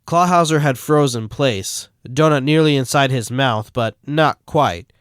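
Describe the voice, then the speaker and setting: A man reading fan fiction aloud as the narrator in a steady, plain way. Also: medium pitch, measured pace, clear, resonant tone, dry recording, studio quality. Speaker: fanfic reader.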